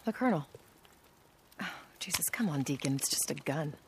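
A woman's voice: low-pitched, expressive, moderate pace, background noise. An actress playing a guarded, strong-willed woman scientist in a video game, with a natural, hesitant delivery. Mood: subdued, evasive. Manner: naturalistic, hesitant, dropping to hushed asides. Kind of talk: video game cutscene acting.

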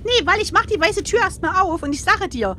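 High-voiced, animated woman. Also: fairly quick, a little hiss or hum. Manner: mock-indignant, cheeky. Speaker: podcast co-host and player. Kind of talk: casual banter while playing a gamebook.

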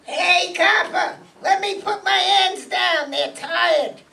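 A woman's voice: extremely high pitch, slow pace, gravelly, bright, forceful tone, soft background music, full character voice. A woman playing a short, wheedling small-time male gangster with slangy tough-guy talk in a stage radio mystery. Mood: caught, grumbling. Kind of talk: radio mystery acting before a live audience. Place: meeting room stage, camera among audience. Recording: distant mic in an audience room.

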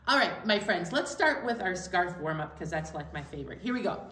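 A woman's voice: fairly low pitch, a little fast. A middle-aged woman hosting an interactive children's storytime in a playful way, recorded indoors at some distance. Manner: playful, animated. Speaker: children's library storytime host. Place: indoor room with fabric backdrop. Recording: roomy, distant mic, background noise.

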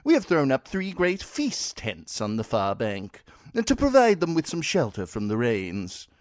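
A man voicing a smooth, courteous young nobleman who speaks in a formal, overly polite way. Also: medium pitch, wildly swooping pitch, measured pace, dry recording, studio quality, pitched above the performer's own voice. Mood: courtly.

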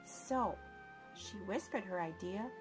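A woman storyteller reading a children's picture book aloud, with expressive, deliberate emphasis for young listeners. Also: mid-range voice, music in the background, low-quality recording.

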